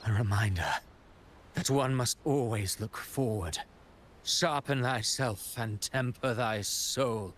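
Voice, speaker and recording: An actor playing a calm, scheming playwright villain in a video game cutscene, speaking in formal, poetic, courteous phrases. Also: male voice, medium pitch, slow, a little hiss or hum. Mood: solemn, resolute.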